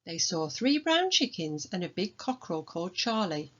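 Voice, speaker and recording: A woman reading a children's storybook aloud as its author, in an expressive manner. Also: on the low side, normal speed, muffled recording. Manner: expressive, acts out dialogue.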